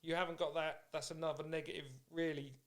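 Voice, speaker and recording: A man's voice: fairly high pitch, flat delivery, fast talker, a bit bright. A man hosting a podcast in casual interview chat, talking in an encouraging, matey way. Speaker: podcast host.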